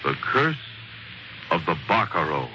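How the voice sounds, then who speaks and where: A man narrating as a 1940s radio storyteller, in a dramatic, measured, scripted style. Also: sits low, very animated, background noise. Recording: muffled 1940s radio recording. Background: prominent music.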